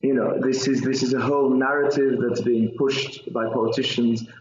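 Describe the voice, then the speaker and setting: A man from Belgium, a panel guest speaking over a video call in a measured, hesitant way with many fillers. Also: medium pitch, flat delivery, a little mellow. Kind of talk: remote panel discussion. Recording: roomy, dull audio.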